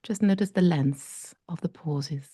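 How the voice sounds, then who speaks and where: A woman with a low, mellow voice leads a breathing meditation. She talks slowly and softly and leaves long pauses between phrases. The sound is close and dry. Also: husky, no echo. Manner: slow, soft and gentle, with long pauses. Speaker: meditation guide.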